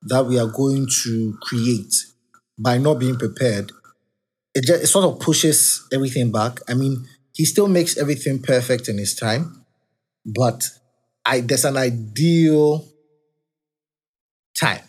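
A man's voice: a little slow, pauses often, darkish tone, slightly rough. A man hosting a podcast, casually reflecting on faith in a rambling, self-correcting way. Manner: talks of his high-pitched voice. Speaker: podcast host.

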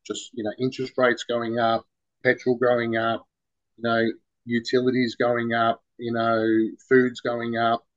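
A man's voice: mid-range voice, completely flat delivery, unhurried, dull audio. A man with an Australian accent, chatting loosely as an interview guest, full of "man" and "bro". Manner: rambling, loose and informal, lots of "man" and "bro". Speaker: podcast guest. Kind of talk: casual interview talk.

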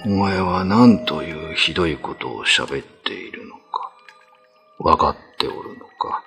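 A man voicing a stern, world-weary old male cat elder in a radio drama, speaking gravely in an old man's phrasing. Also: sits low, unhurried, bright, forceful tone, soft background music, heavily acted. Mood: reproachful.

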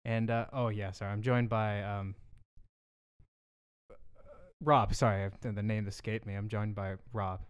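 A man's voice: lowish voice, flat delivery, unhurried, pauses often, clean tone. A man co-hosting a casual comedy podcast, joking around, laughing often and repeating bits playfully. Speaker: podcast co-host.

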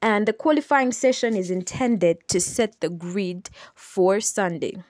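A young adult woman with a Ugandan accent, hosting a solo podcast and explaining things in an unhurried way with some hesitant fillers. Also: medium pitch, darkish tone, dry recording. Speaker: podcast host.

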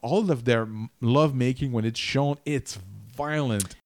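A man co-hosting a podcast, discussing a miniseries in casual chat, halting and thinking aloud as he goes. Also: mid-range voice, over loud music. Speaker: podcast co-host.

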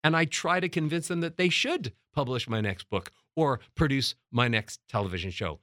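A middle-aged man teaching as a voice coach, emphatic and demonstrating how to change loudness and pitch. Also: expressive, normal speed, no echo, clean studio sound. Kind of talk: motivational teaching talk.

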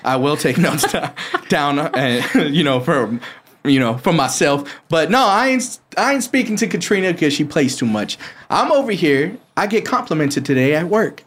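A man's voice: fairly high pitch, moderate pace. A man co-hosting a casual podcast, telling stories with mock indignation. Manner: animated storyteller, mock-indignant. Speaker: podcast co-host. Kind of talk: casual chat.

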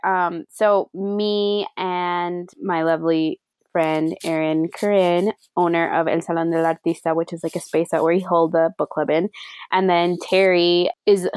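A young woman co-hosting a casual podcast, chatting in a rambling, animated way with lots of fillers and a few Spanish words mixed in. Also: fairly low pitch, smooth, dry recording. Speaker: podcast co-host. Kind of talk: casual chat. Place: lives in Madrid.

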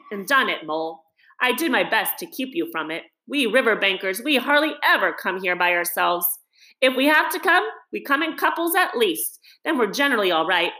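A kindly, sensible storybook water rat, acted out by a woman. He is hearty and chummy, pitched above a narrating voice, with noticeable room echo around him. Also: bright, forceful tone. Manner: soothing, chummy, cheerful. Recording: roomy, echoing reading.

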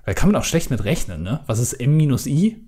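A man's voice: mid-range voice, sing-song, brisk, mellow, slightly rough. A man co-hosting a casual podcast, rambling with lots of hesitations and dry jokes. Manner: rambling, hesitant, many ums and self-interruptions, dry jokes. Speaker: podcast co-host.

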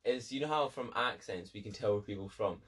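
A teenage boy with a Scottish accent, co-hosting a podcast in excitable, casual chat with friends, swearing and laughing freely. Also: on the low side, quick pace, very noisy. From Scotland. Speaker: podcast co-host.

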